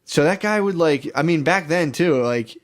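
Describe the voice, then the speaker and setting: A man's voice: on the high side, lively intonation, normal speed. A man co-hosting a podcast, riffing casually and comedically in crude bro slang. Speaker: podcast co-host.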